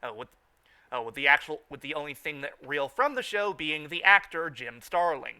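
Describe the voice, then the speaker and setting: Male fan-show host: higher-pitched, sharp bright tone, stumbling repetitive delivery, informal recap style. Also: expressive, smooth. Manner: halting, repeats and restarts phrases.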